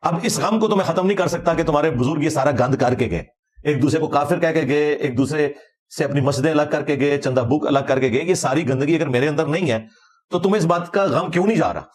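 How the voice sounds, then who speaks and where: Pakistani punjabi guy doing religious commentary, slightly high voice, sarcastic and argumentative, chatty wording. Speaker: religious lecturer. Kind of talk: informal religious commentary and debate.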